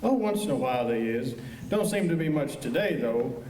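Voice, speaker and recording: A man's voice: medium pitch, expressive, normal speed, a little mellow, natural voice. A man acting a polite, bashful, folksy marshal's helper in a western radio drama, speaking hesitantly. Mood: hesitant, obliging. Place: high school auditorium stage. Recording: echoey auditorium, distant mic, faint noise.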